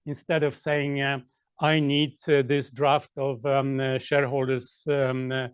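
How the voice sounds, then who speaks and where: A man, a lawyer giving a seminar talk, halting with many fillers and dry asides. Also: medium pitch, little pitch movement, clean tone, dry recording.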